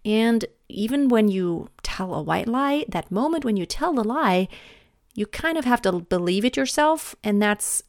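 A woman's voice: fairly high pitch, animated, a little slow, no room sound, studio quality. A middle-aged woman from Germany, speaking English with a German accent, hosting a reflective solo podcast and often restarting her sentences. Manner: thoughtful, stumbles and restarts often. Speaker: podcast host.

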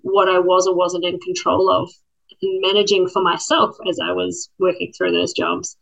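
A woman with a New Zealand accent answers interview questions in a thoughtful, measured way. Her voice sits on the low side, and the audio sounds muffled and lo-fi. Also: little pitch movement, creaky, fairly bright tone. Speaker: program participant, former lawyer.

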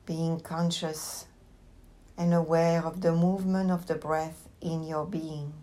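Slow, low-voiced woman guiding meditation. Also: flat delivery. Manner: gentle, unhurried instruction with long pauses. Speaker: meditation teacher. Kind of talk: guided meditation.

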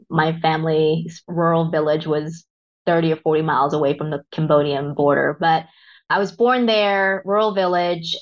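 A woman's voice: fairly low pitch, highs cut off. A woman in her thirties, raised in Texas after being born in Vietnam, talking candidly as a podcast guest about her life. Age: 30s. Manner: candid, reflective. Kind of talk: reflective interview talk.

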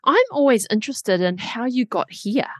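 A woman's voice: on the high side, animated, normal speed, no room sound, studio quality. A woman hosting a podcast, welcoming her guest and asking friendly, encouraging questions. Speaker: podcast host. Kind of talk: podcast interview.

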